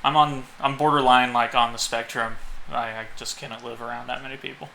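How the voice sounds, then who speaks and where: Bright-voiced man in halting casual banter. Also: medium pitch, flat delivery, gravelly, poor audio. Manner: halting, chuckles often. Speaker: podcast co-host. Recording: faint background noise.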